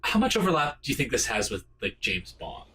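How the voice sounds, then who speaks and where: A man's voice: medium pitch, huge pitch swings, brisk, pushed and bright, breathy edge. A man chatting casually as co-host of a game playthrough, searching for words as he goes. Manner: hesitant, searching phrasing. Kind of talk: casual chat commentary over a game.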